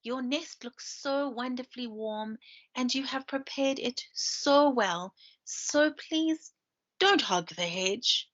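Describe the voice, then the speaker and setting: A woman voicing a small, polite, eager dormouse with a squeaky voice in a children's storybook. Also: slow pace, a bit bright, exaggerated voice. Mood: admiring, pleading. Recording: dull lo-fi screen-share recording.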